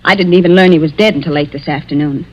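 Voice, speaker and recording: An actor playing an earnest witness giving testimony in a 1940s radio mystery, on a dull vintage recording. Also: male voice, on the high side, quick pace, a little mellow, close to an everyday voice. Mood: earnest, insistent.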